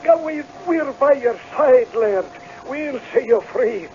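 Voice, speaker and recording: An anxious servant in an old radio drama. His voice is very high for a man. The sound is muffled and clearly noisy. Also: animated, slow, full character voice. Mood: flustered, bossy. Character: anxious Scottish male servant of a laird.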